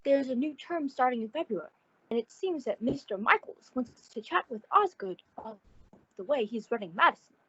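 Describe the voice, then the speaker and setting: Woman acting a swooping, high-voiced fussy landlady. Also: expressive, fairly quick, a bit bright, husky, no echo, heavily acted. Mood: earnest, fussy. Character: fussy, chatty, good-natured landlady. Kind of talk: old-time radio comedy acting. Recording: dull, muffled audio.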